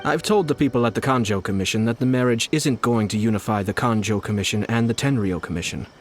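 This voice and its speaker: An actor playing a composed, scheming young noble strategist in a game, speaking in a measured, persuasive way. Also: male voice, medium pitch, faint music behind, natural voice. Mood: calm scheming. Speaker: game character. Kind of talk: game voice acting.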